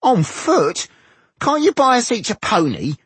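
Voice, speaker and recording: A man's voice: very high voice, huge pitch swings, a little fast, pushed and bright, breathy edge, dry recording, voice pushed up, heavily acted. A man voicing a puny, petulant male dwarf who whines and demands in a fantasy audiobook. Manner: petulant complaining.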